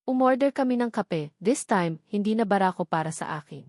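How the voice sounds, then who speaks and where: A woman's voice: medium pitch, animated, fairly quick, darkish tone, no room sound, clean studio sound. A woman narrating a first-person romantic drama in an earnest, emotional, reflective manner.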